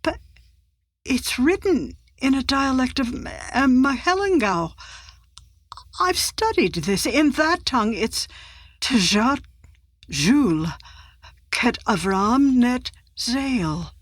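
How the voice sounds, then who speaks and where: A woman's voice: high-pitched, animated, takes it slowly, long pauses, dry recording, clean studio sound, full character voice. An actress playing a compassionate, scholarly woman in a cinematic audio drama. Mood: intent, studious. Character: compassionate, scholarly sister, awed and concerned. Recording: music underneath.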